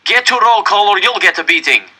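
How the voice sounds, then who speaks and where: A man reading aloud voices a vicious guard who bellows every order in a strained, high, forceful shout. The tone is piercingly bright and cutting. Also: lively intonation, a little fast, husky, full character voice. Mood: threatening. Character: angry brutal male camp guard.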